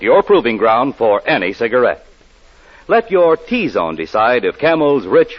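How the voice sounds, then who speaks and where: A man's voice: mid-range voice, breathy edge. A man announcing and selling in emphatic 1940s radio advertising style. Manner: emphatic salesman's delivery. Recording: dull, lo-fi 1940s radio recording.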